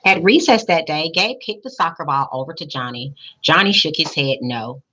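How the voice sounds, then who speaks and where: A woman reading a picture book aloud expressively, pausing in a teacherly way to put questions to young listeners. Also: low voice, lively intonation, sharp tone. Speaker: school principal reading aloud to children.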